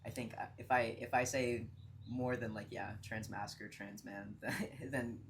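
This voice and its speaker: A man's voice: lowish voice, fairly even intonation, a little slow, gravelly. A man of twenty-one, a podcast guest, talking in a chatty, hesitant way full of fillers. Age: 20s. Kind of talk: casual conversation. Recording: noisy background hiss.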